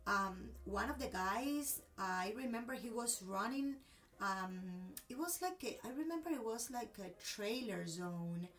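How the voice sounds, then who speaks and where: A woman's voice: on the high side, lively intonation, a little slow, fairly bright tone, far from the mic. A woman from Cuba with a Cuban accent and Spanish as her first language, telling a personal story expressively in a conversational interview.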